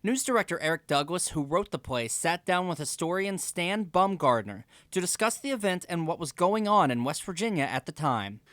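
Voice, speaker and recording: A man's voice: on the high side, expressive, normal speed, hardly any pauses, a bit bright, no echo. An American man reading scripted announcements and credits as a radio announcer. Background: guitar music.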